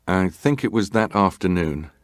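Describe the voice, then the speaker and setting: Deep-voiced, formal ship's officer. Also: male voice, expressive, dry recording, clean studio sound. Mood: guarded, composed. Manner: measured, clipped, formally deferential answers. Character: formal ship's officer testifying, guarded and terse. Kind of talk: dramatised reading of hearing testimony.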